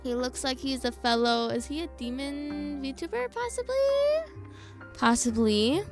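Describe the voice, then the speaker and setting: A woman's voice: high-pitched, expressive, measured pace. A young adult woman casually chatting as a game livestreamer, playful and informal. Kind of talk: casual livestream chat over gameplay. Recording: background game music. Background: game music.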